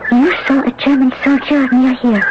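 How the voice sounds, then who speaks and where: A woman's voice: high-pitched, flat delivery, brisk, lightly acted. An actress playing an earnest, hesitant sixteen-year-old French country girl in a radio drama. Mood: earnest, pleading. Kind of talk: radio mystery drama acting. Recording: muffled, noisy old radio recording.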